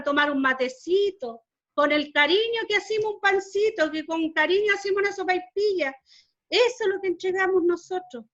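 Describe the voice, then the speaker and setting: A woman with a Chilean Spanish accent speaks to a class over a video call. Her voice sits high, and she talks earnestly and with feeling, circling back over her own words. The sound is dull and lo-fi. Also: fairly bright tone. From southern Chile. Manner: earnest, emotional, repeats phrases. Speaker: Mapuche community speaker. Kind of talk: heartfelt talk to a class.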